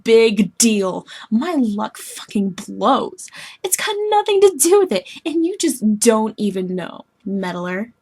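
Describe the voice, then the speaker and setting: A woman voicing a moody, self-pitying young alien woman in scripted dialogue, blunt, slangy and excitable. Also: fairly high pitch, slow pace, breathy edge, exaggerated voice. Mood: defensive, annoyed.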